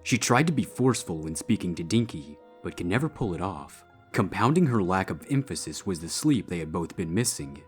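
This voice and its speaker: A man's voice: low voice, big pitch swings, a little fast, few pauses. A man giving a scripted narration of a story as its narrator. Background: background music.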